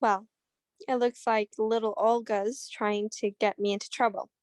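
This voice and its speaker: A woman's voice: a little high, level tone, measured pace, no room sound, close to an everyday voice. A woman playing a cool, dry-witted mystery woman in a radio-style detective drama. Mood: cool, knowing. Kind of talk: 1940s radio mystery acting.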